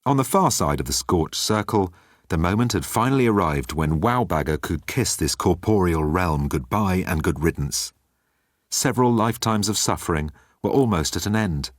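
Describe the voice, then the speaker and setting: A man narrates a comic story in a deep voice. The sound is close and dry, as in an audiobook, with a scripted storytelling delivery. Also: lively intonation, moderate pace, no echo.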